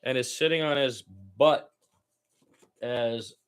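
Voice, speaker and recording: A man's voice: mid-range voice, slow pace, smooth. A man giving loose, conversational play-by-play basketball commentary in an informal, slangy way, as if thinking aloud. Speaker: amateur radio-style play-by-play commentator.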